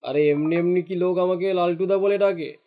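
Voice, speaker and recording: A high-pitched cartoon fisherman, acted by a man, puffed up with his own importance. He talks down to his younger neighbour in a jealous, taunting way. The sound is a little muffled and lo-fi. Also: steady tone, moderate pace. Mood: scornful, boastful. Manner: taunting, condescending, boastful. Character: jealous, boastful village fisherman, an older neighbour. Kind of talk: cartoon dialogue acting.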